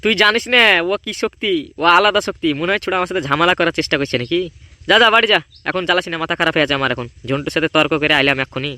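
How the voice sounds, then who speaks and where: A man acting as a hot-headed cartoon boy. He talks extremely fast in a high voice, scolding and defiant. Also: sharp tone, no room sound. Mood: irritated, mocking. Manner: defiant, scolding, rapid-fire. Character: hot-headed older boy guarding a secret paper. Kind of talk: amateur comedy cartoon dub.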